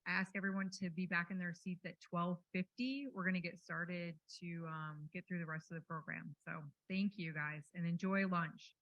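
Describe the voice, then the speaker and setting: A woman hosting a workshop, making friendly, brisk announcements over a microphone. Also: on the low side, little pitch movement, a bit bright, no echo, lo-fi sound. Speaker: event host. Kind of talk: event announcements. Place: conference room.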